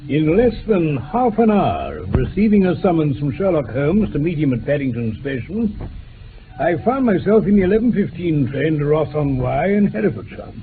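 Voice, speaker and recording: An actor playing a genial British gentleman, a detective's bluff companion, in a radio drama. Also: on the high side, very animated, normal speed, over music. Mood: narrating. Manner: bluff, interjecting with short questions. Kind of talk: radio drama acting and first-person narration. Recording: muffled noisy vintage radio recording.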